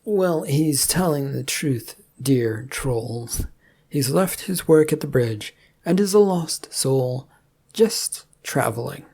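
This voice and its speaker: Woman as an uneasy, polite knight, husky voice. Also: a bass voice, animated, slow pace, dark tone, exaggerated voice. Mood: pleading. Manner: hesitant, reasoning. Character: polite, uneasy male knight leading bumbling party.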